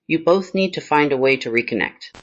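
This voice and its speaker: A man's voice: a little high, expressive, brisk, natural voice. A synthetic male voice playing the tender hologram of a dead wife in a screenplay table read. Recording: dull, muffled lo-fi audio.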